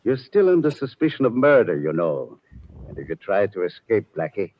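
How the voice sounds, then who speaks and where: Husky, theatrical radio villain. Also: male voice, on the low side, sing-song, moderate pace. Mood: smug menace. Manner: gloating, threatening. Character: smug, menacing murderous male bank president. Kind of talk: 1940s radio drama acting. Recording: muffled old radio drama recording.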